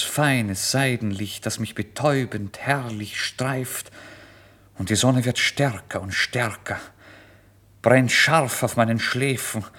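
An actor playing a starving, feverish, proud man who narrates his own torment in a theatrical, intense radio monologue. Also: mid-range voice. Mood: hushed, tender, then feverish ecstasy. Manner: theatrical, intense, swinging from hushed to loud outbursts. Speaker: first-person narrator. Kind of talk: dramatic literary monologue for radio.

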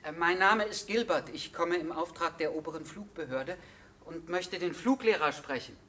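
Officious, easily flustered investigator. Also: male voice, a little high, moderate pace, a bit bright. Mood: flustered, inquiring. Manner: formal, hesitant, muttering to himself. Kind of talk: radio drama acting.

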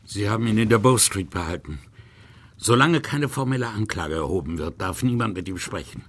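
A man acting a grave, stern Victorian police inspector in a German radio play, husky and official. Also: on the low side, expressive, fairly quick. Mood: grim.

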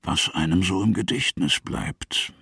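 A man with a gravelly, husky voice narrating a literary radio drama in short, weighty, dramatic phrases. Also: low voice, measured pace, pushed and bright, no echo, studio quality, exaggerated voice.

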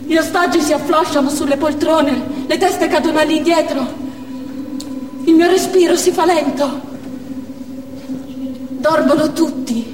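An actress playing a desperate, defiant female Chechen militant in a declamatory theatrical monologue. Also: sits high. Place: theatre stage, picked up from afar. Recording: distant echoey theatre hall with music.

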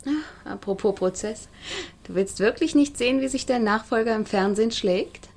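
Curious woman, slightly high voice. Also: moderate pace, a little mellow. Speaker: actor in a radio crime drama. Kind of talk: German radio drama acting, conversational.

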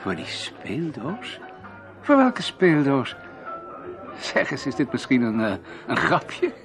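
An actor in a radio drama playing a suave, cagey villain who poses as a courteous, hesitant Canadian gentleman. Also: mid-range voice, wildly swooping pitch, slow, heavily acted. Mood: feigned politeness. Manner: courteous, hesitant, feigning ignorance. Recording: radio play with music and crowd behind. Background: music and crowd chatter.

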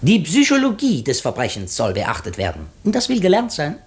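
An actor playing a vain, shrewd, courtly master detective in a 1950s German radio play, precise, theatrical and pompous. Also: male voice, a little high, wildly swooping pitch, fairly quick. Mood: self-assured.

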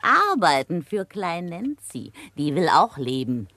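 Eine Schauspielerin spielt in einem Krimihörspiel eine dreiste, ordinäre Erpresserin, die höhnisch stichelt und keift. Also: female voice, lowish voice, big pitch swings, slow, sharp tone, no echo, full character voice. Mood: sneering, mocking. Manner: sneering, taunting. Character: brazen, mocking female blackmailer, coarse and shrill-tempered. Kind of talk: German radio crime drama acting.

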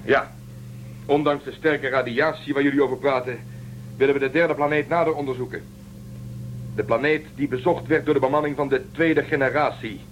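Man playing a brisk starship crewman. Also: medium pitch, steady tone, slight background noise, lightly acted, poor audio. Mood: stern. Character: resourceful male crewman Telsen, caring. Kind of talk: radio drama acting.

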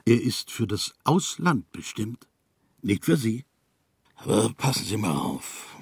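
An actor in a radio drama playing a stern, patronizing East German political officer with a gravelly, officious delivery. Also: male voice, fairly low pitch, very animated, slow, exaggerated voice.

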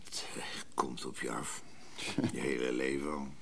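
An actor playing a hesitant, lonely, uneasy man in naturalistic radio drama dialogue, halting and tentative. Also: extremely low pitch, level tone, vocal fry, a bit bright, slight background noise, exaggerated voice. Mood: uneasy, hopeful.

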